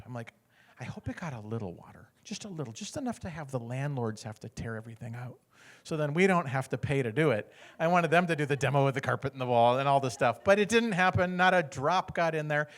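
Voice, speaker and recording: Lively storytelling American man. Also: a little high. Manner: acts out other people's lines, often laughing. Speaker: guest speaker sharing a testimony. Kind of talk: animated storytelling testimony.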